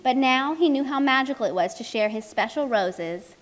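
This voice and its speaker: A woman's voice: high voice, lively intonation, moderate pace, slight background noise. A woman narrating a scripted children's puppet show as storyteller, explaining things and addressing the characters. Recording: long hall reverb.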